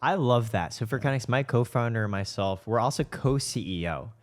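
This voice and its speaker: A man's voice: mid-range voice, lively intonation, moderate pace. A man hosting a podcast in a casual, conversational interview style, speaking informally and with enthusiasm. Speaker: podcast host and startup co-founder.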